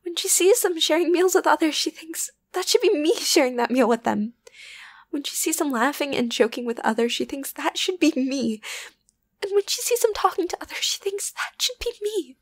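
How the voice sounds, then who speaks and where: A woman voicing an obsessive, lovesick young yandere best friend in an ASMR roleplay, halting and intimate, often slipping into a whisper. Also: high voice, no room sound. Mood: agitated, jealous. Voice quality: breathy, often dropping to a whisper. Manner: halting, intimate, wavering between tender and agitated. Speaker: voice actor.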